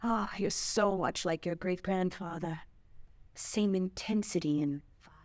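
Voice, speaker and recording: An actress playing a stern, regretful great-grandmother in her nineties, deliberate and challenging, at a dramatic table read. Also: fairly low pitch, darkish tone, natural voice.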